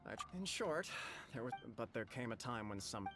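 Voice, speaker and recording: An actor playing a courteous government envoy in a video game, speaking in a polite, wordy, formal manner. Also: male voice, medium pitch, big pitch swings, moderate pace, over music, close to an everyday voice. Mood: earnest, diplomatic. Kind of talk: scripted game dialogue.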